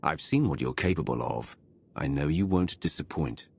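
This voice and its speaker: An actor playing a commanding Sith lord in a video game, gravelly, terse and authoritative, a stern but supportive superior. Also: male voice, a bass voice, expressive, normal speed, a little mellow, full character voice. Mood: measured. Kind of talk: dramatic video game cutscene acting.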